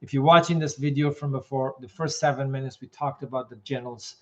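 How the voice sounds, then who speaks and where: A man hosting a live question session as an immigration consultant, reading viewer comments aloud and giving quick, matter-of-fact answers while losing his voice. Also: medium pitch, steady tone, a bit bright, dull audio.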